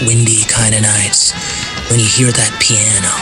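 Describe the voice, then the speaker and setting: An actor playing a troubled small-town local haunted by a ghost in an audio drama, speaking in a halting, folksy way. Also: male voice, on the low side, little pitch movement, close to an everyday voice. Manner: halting, hesitant, repeating words, folksy. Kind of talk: audio drama acting, naturalistic interview dialogue. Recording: music behind the voice.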